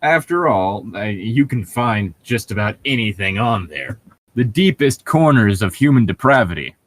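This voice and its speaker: A man's voice: lowish voice, big pitch swings, moderate pace. A man hosting a casual comedy read-along, bantering and reading aloud in a wry, joking, conversational way. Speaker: podcast host reading fan fiction aloud.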